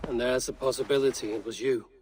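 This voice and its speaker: An actor playing a measured Viking raider who questions others in a video game cutscene. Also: male voice, medium pitch, fairly even intonation, a little mellow, slight background noise, close to an everyday voice, low-quality recording. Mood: probing. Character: Viking raider investigating a betrayal, measured and probing.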